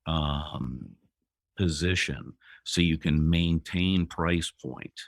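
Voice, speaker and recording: A man in his fifties, a guest entrepreneur on an interview podcast, speaking in a measured way with pauses. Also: a bass voice, gravelly, no room sound, studio quality. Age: 50s.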